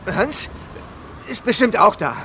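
An actor playing a ruthless, commanding leader of an island under siege, in a German radio drama. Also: male voice, high voice, sing-song, slow pace, creaky, full character voice. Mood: urgent. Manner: commanding, menacing, intense. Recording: long reverb, faint noise.

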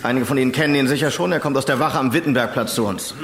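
An actor playing a dry, authoritative senior police official making a measured, formal speech in a radio drama. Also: male voice, medium pitch, lightly acted. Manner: formal, measured, wryly ironic. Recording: echoey hall with murmuring crowd.

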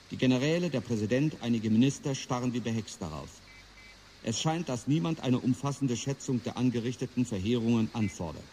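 A man acting in a radio play as a grave war reporter, solemn and measured, as if live on air. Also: medium pitch, dark tone, a little hiss or hum, natural voice. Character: grave male reporter inside a war command bunker.

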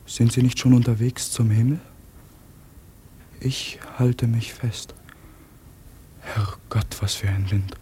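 Scared pious sailor lad in radio play, soft dark lowish voice, muttering. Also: male voice, a little hiss or hum. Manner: anxious muttering, hushed at times. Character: timid, fearful, pious young ship's hand. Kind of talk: German radio drama acting.

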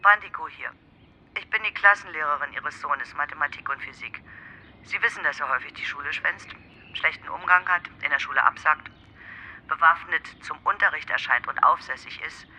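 A woman playing a stern, formal schoolteacher speaking briskly over the telephone in a radio drama. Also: deep voice, bright, forceful tone. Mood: stern, urgent. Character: stern female maths and physics schoolteacher. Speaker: class teacher. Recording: noisy, thin telephone line.

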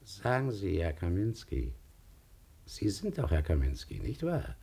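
An actor playing a suave lawyer in a 1950s radio crime play, questioning with insinuating, mock-polite menace in a husky voice. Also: male voice, low-pitched, wildly swooping pitch, unhurried, dark tone, full character voice. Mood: soft, insinuating. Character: suave, quietly menacing male lawyer interrogating a witness.

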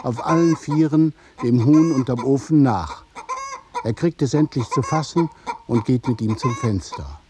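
A man narrating a scripted literary radio play in a deliberate, measured storytelling manner. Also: medium pitch, expressive, background noise.

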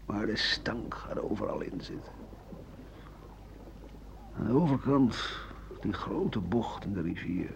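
An actor playing an embittered, war-weary French doctor who broods over his past in a slow Dutch radio play. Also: lowish voice, creaky, full character voice. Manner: slow, brooding, halting delivery with swings of emphasis. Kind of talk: 1980 Dutch radio play, monologue and dialogue. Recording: dull, noisy old radio recording.

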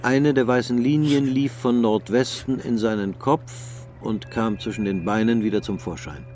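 A man narrating a radio drama in a measured, sober way. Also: medium pitch, fairly even intonation, darkish tone, faint music behind.

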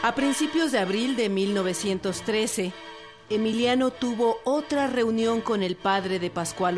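A woman reading scripted narration for a historical radio drama. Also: mid-range voice. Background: music.

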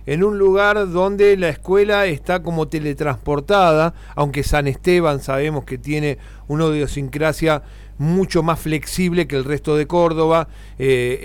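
A man's voice: on the high side, smooth. An Argentine man conducting a conversational interview as a radio host. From Buenos Aires, Argentina.

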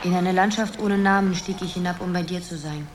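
An actress playing a dreamy, wistful young woman who muses haltingly in a poetic radio drama. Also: lowish voice, noisy background, close to an everyday voice. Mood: dreamy, musing. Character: Zoe, dreamy young woman drifting through a surreal world.

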